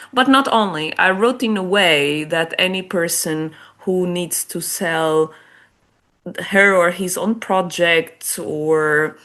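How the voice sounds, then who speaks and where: A woman's voice: on the low side, fairly bright tone. A woman with a Portuguese accent, a non-native English speaker, explaining her ideas in a chatty, casual interview. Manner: chatty, explanatory, many restarts and small laughs. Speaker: guest author and business development head.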